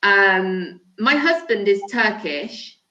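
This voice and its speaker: A woman's voice: medium pitch, expressive, takes it slowly, bright, forceful tone, soft background music. A middle-aged woman from England with an English accent, chatting casually on a video call as a conversation partner. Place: home in Turkey. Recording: echoey room, dull lo-fi sound.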